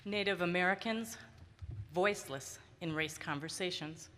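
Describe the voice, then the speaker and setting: A woman's voice: on the low side, moderate pace, fairly bright tone. A woman reciting short scripted statements in a reverberant hall with background noise. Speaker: reader of short personal statements.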